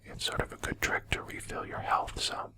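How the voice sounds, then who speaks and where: Someone whispering soft, unhurried gameplay commentary in a breathy, conversational ASMR style. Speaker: video game streamer and commentator.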